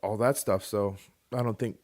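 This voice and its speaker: A man's voice: on the low side, steady tone, moderate pace, a little mellow. A young man co-hosting a casual podcast, talking in a rambling way with many restarts. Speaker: podcast co-host. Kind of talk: casual chat.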